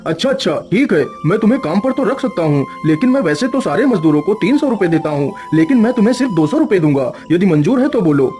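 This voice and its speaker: A man's voice: sits high, very animated, fast, few pauses, warm tone, soft background music. A man voicing a crafty, stone-hearted cartoon moneylender with a condescending, calculating manner. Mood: sly, calculating.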